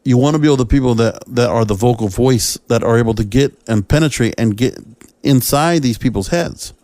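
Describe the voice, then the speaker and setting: A man's voice: medium pitch, brisk, darkish tone, no echo. Man hosting a podcast, dry close sound. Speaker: podcast host. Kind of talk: solo podcast commentary.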